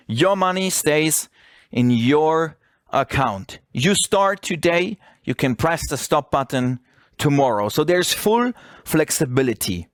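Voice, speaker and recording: A man's voice: a little high, no echo, studio quality. A man who speaks English as a second language gives an informal, persuasive sales presentation, often asking questions and answering them himself. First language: not English. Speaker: webinar presenter.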